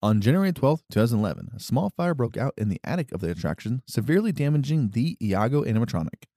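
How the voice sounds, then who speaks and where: A man's voice: mid-range voice, very animated, quick pace, warm tone, no echo, clean studio sound. A man co-hosting a podcast, reading a history segment from notes with small stumbles, then chatting casually. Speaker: podcast co-host.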